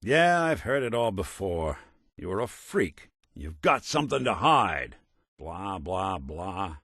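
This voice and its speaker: A man's voice: low voice, very animated, takes it slowly, a bit bright, dry recording, clean studio sound, heavily acted. A male actor playing a weary old android detective in a video game, talking in wry, slangy, hard-boiled noir style. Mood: wry, resigned.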